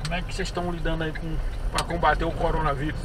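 A man's voice: fairly high pitch, level tone, rapid-fire. A young man from Brazil who drives a ride-share dressed as a clown, chatting and joking with his passengers and laughing often. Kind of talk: casual prank chat with passengers. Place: inside a car at night. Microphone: dashboard camera mic. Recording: distant in-car mic with road noise.

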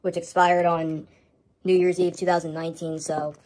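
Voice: mid-range voice, flat delivery, normal speed, darkish tone, low-quality recording. An American speaker talking casually to a handheld camera indoors at home, showing items in a vlog update. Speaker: host of a home media collection update. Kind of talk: casual show-and-tell vlog.

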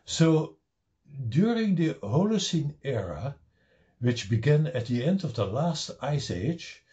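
An older man with a Dutch accent giving a slow, deliberate slideshow lecture on his own paper, with wry asides, recorded on a webcam at home. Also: a little high, big pitch swings, dark tone, dull audio.

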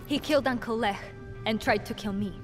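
An actress playing an earnest, principled young resistance heroine in a video game, speaking with intensity. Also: female voice, on the high side, fairly quick, over loud music, close to an everyday voice. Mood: grave, resolute. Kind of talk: video game cutscene acting.

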